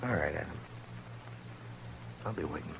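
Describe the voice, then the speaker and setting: A very deep, gravelly voice for a steady frontier marshal in a 1950s radio western. He speaks unhurried and dry, with a low chuckle never far off. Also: a little hiss or hum, full character voice. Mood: steely.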